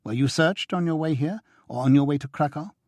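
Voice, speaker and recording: A man's voice: a little high, fairly quick, a little mellow, dry recording, voice pushed up, lightly acted. A man reading a stern, curt, businesslike woman who serves as a secret contact in an audiobook.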